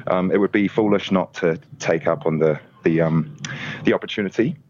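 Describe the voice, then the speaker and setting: Low-voiced New Zealand man, muffled line. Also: normal speed, a little hiss or hum. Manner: hesitant, many "um"s, soft laughs. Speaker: guest musician. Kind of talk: casual interview.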